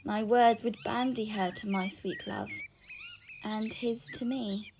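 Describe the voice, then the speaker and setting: A lovestruck young girl in a Shakespeare audio play, acted by a woman. Her high voice takes the verse slowly, eager and pleading. It was recorded at home on a phone, with slight noise behind. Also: steady tone. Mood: impatient longing. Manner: pleading, dramatic verse delivery. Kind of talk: Shakespeare audio drama acting.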